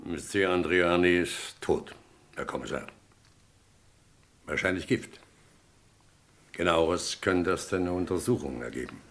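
Low-voiced, clinical police doctor. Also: male voice, measured pace, pauses often, a bit bright. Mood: matter-of-fact. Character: matter-of-fact male police doctor, respectful and clinical. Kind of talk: 1950s-80s German radio crime drama acting.

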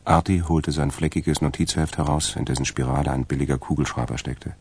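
A man with a gravelly voice narrating a German radio crime drama from a script. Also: a bass voice, steady tone, a little fast, a little mellow.

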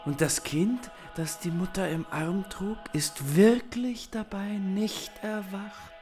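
Slow, solemn watcher over music. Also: male voice, sits high, darkish tone. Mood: grave. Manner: halting short observations. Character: solemn, hushed watcher narrating what he sees through a window. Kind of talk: slow symbolist radio play acting.

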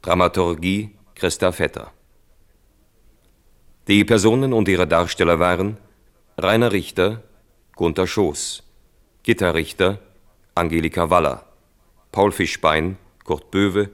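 A male announcer delivers scripted radio drama credits. His voice sits deep, and his delivery is very slow and measured. The tone stays formal throughout. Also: fairly bright tone.

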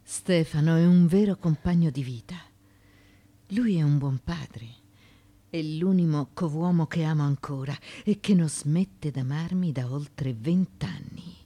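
A woman's voice: low-pitched, unhurried, warm tone. A woman acting in a radio drama as a proud, anguished former slave turned wife in ancient Athens, with an impassioned, theatrical delivery. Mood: wounded, tender.

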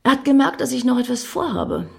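An actress playing a scheming, grandly solemn woman in a satirical radio play, speaking in deliberate, lofty phrases. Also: a little high, expressive, vocal fry, breathy edge, heavily acted. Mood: solemn, purposeful.

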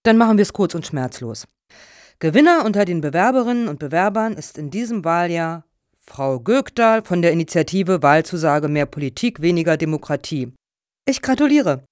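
A woman acting in a radio satire as a brisk, formal foundation juror with a businesslike, measured manner. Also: fairly low pitch, big pitch swings, a little mellow, dry recording, studio quality. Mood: brisk, official.

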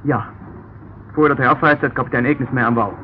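A man's voice: medium pitch, fairly even intonation, a little fast, lightly acted. An actor playing a curt, defensive nobleman in a radio drama, with a dismissive manner. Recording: dull, noisy old radio play recording.

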